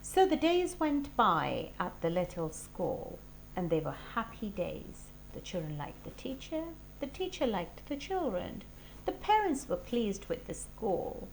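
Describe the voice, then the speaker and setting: Storybook reader: woman, slow and clearly animated delivery aimed at young children, some noise on the recording. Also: mid-range voice, low-quality recording. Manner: deliberate, expressive reading for young children. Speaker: teacher reading a storybook aloud.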